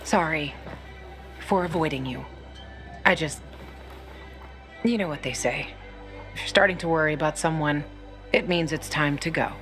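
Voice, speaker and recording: A woman's voice: deep voice, normal speed, long pauses, fairly bright tone. A woman playing a tough, candid young fighter with a wry, guarded manner in a video game cutscene. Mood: relieved, wry. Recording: music behind the voice.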